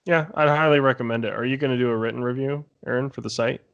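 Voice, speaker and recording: A man's voice: mid-range voice, fairly even intonation, fast talker, fairly bright tone, clear, resonant tone, muffled recording. A man speaking casually as a podcast panelist, giving his recommendations in a review discussion.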